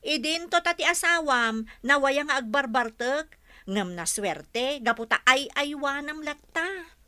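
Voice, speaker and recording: A woman's voice: sits high, animated, a little slow, bright, forceful tone, dry recording, heavily acted. An actress with an Ilocano accent playing a nosy, needling, preachy older woman in a radio drama. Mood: needling, judgmental.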